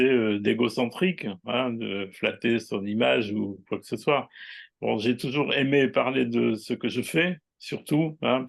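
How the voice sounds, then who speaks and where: A man in his sixties, a writer and astrologer, chatting as an interview guest in an unhurried, hesitant way with many fillers. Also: medium pitch, vocal fry, breathy edge. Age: 60s. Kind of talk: casual interview chat.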